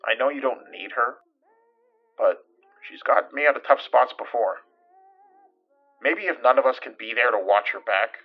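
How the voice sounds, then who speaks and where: A man playing a caring, protective friend in a naturalistic audio drama, with a gentle, hesitant and reassuring way of talking. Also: medium pitch, vocal fry, dull audio.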